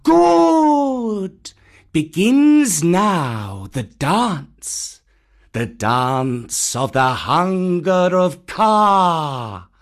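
A narrator voicing a huge old python, commanding and menacing, with slow, drawn-out hypnotic delivery, in a storybook reading. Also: mid-range voice, very animated, pitched above the performer's own voice, heavily acted.

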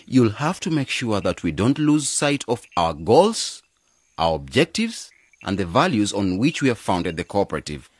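An actor playing an earnest man who leads a village cooperative in a radio drama, deliberate and consultative. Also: fairly low pitch, sing-song, slightly rough, no echo. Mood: persuasive. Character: earnest male agronomist leading a village cooperative.

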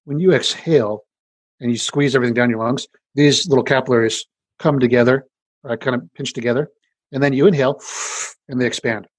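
A man with a deep voice, a scientist explaining his research in an interview in a casual way, with many fillers and restarts. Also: fairly even intonation, fairly quick, husky, no echo.

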